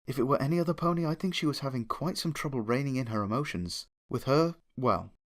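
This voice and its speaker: A man's voice: mid-range voice, quick pace. A man reading a story aloud as a dramatic, scripted first-person narration. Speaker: fan fiction reader, narrator.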